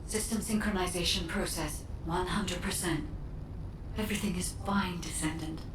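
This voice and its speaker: A woman voicing a calm, precise artificial intelligence guide in a game, with measured, formal delivery. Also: close to an everyday voice. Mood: composed, explanatory. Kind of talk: scripted game dialogue. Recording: distant, echoey voice over loud rumble.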